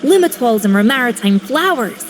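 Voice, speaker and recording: Game chef, measured and curious, a fairly high voice, acted by a woman. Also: animated, a little hiss or hum, lightly acted. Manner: inquisitive, measured.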